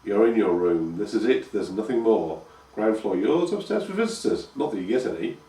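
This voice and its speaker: A man's voice: on the low side, sing-song, normal speed, dark tone, recorded from a distance. A man playing a mild, long-suffering teenage peasant boy with a weary, dry manner. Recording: echoey room, voice from afar.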